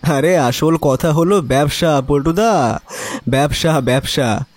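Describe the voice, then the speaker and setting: A man's voice: fairly high pitch, takes it slowly, no echo. An actor playing a cynical, resigned young neighbourhood man, talking colloquially and wryly in an audio drama. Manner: colloquial, emphatic repetition, wry. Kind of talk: Bengali audio drama acting.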